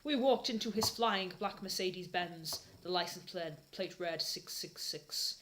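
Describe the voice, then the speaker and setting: A woman's voice: lowish voice, measured pace, a bit bright, slight background noise, low-quality recording. A British woman reading fanfiction aloud as a mocking, theatrical performance. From Britain.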